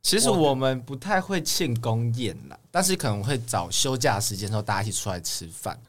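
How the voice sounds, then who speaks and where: A man's voice: mid-range voice, lively intonation, a little fast. A young man, chatting casually as an idol guest. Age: young adult. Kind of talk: casual chat.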